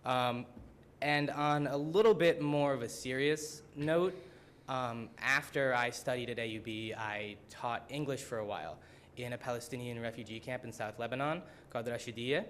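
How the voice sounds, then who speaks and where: A man's voice: mid-range voice, normal speed, fairly bright tone, a little hiss or hum. Young man telling funny stories at a talk. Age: young adult. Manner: casual, many "like" fillers, laughing. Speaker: student presenter. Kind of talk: humorous storytelling talk. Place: college symposium room.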